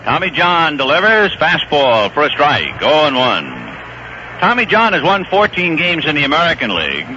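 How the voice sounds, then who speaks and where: Middle-aged male radio play-by-play man, bright lively voice, brisk and chatty, dull vintage broadcast sound. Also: on the high side. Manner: brisk, conversational play-calling. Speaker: play-by-play announcer. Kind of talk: live radio baseball commentary. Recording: dull old radio broadcast with faint hiss.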